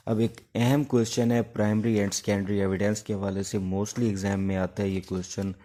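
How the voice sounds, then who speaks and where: Man, Pakistani accent, lowish flat voice, soft mellow tone, law lecturer, halting exam-prep delivery. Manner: halting, instructive, with pauses between phrases. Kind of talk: informal exam-prep lecture with English legal terms.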